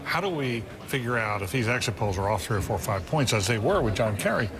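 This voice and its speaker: A man in his sixties analyzing polls on a studio panel in a measured, explanatory way. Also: medium pitch, expressive, over music. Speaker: political analyst. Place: television news studio.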